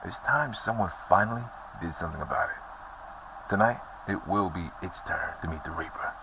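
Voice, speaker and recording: An actor playing a weary, troubled man bent on revenge, speaking slowly and grimly in a horror audio drama. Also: deep voice, distant mic, noisy background. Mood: bitter, grim.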